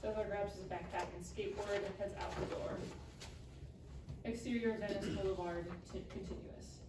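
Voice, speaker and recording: A woman's voice: medium pitch, fairly even intonation, moderate pace. A woman reading scripted scene directions aloud in clipped phrases at a live table read. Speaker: stage-direction reader. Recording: echoey room, distant mic, background noise.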